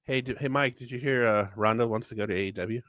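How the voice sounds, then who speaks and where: A man chats on a podcast with halting, stammering restarts and a wry tone. His voice has a middle pitch. The sound is dry and close, with no room echo. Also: a bit bright. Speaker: podcast co-host. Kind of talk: casual podcast banter.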